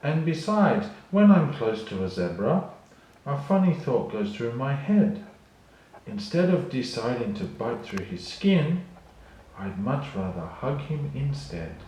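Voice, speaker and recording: A man's voice: medium pitch, very animated, a little slow, warm tone, very echoey, slight background noise, poor audio. A man voicing a kind, bewildered young lion cub with an earnest, pleading manner for a storybook.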